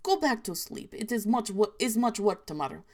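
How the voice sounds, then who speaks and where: A woman voicing a gruff, weary Soviet father in a put-on mock Russian accent, scolding his child. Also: medium pitch, expressive, normal speed, a little mellow, close to an everyday voice.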